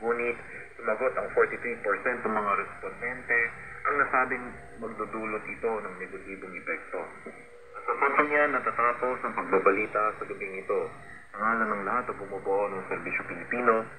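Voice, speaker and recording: A man's voice: fairly low pitch, brisk. A man with a Filipino accent reading scripted news formally as a radio newsreader. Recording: noisy shortwave radio broadcast.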